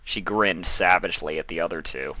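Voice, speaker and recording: Man, story narrator, lowish pitch, crisp bright forceful tone, dry close recording. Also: fairly quick, dry recording.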